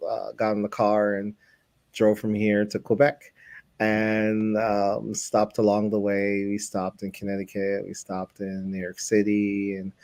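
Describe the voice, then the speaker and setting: A man hosts a casual live-stream chat, rambling a bit and laughing easily. His voice is fairly low and sounds close and dry, with no room around it. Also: completely flat delivery, a little slow, darkish tone, no echo. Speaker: host.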